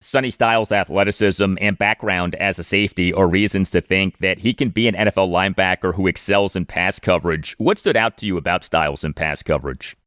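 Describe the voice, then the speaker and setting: An American man hosting a sports interview, putting prepared questions in a deliberate, formal way. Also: low-pitched, a bit bright, clear, resonant tone, no room sound, clean studio sound. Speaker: sports podcast host, interviewer.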